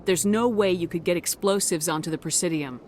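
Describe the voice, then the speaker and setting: A woman's voice: lowish voice, brisk, a little mellow, a little hiss or hum, close to an everyday voice. A woman playing a composed, firm human military commander in a video game, direct and probing. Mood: firm, questioning. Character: female human space soldier commander, composed, firm, diplomatic.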